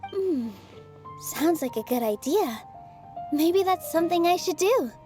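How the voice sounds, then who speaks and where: An actress playing a gentle, earnest young theater dancer with a soft, polite manner. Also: female voice, very high-pitched, expressive, a little slow, faint music behind. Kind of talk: video game voice acting.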